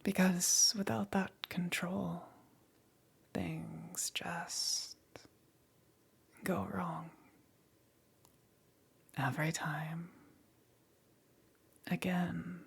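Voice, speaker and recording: A demon queen, played by a woman, whispers in a husky, very breathy voice with a heavy crackle of fry. She is seductive and possessive with a melancholy streak, speaking slowly and with soft condescension in an intimate ASMR roleplay. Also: on the low side, steady tone, heavily acted. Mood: possessive, smug. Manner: slow, deliberate, softly condescending.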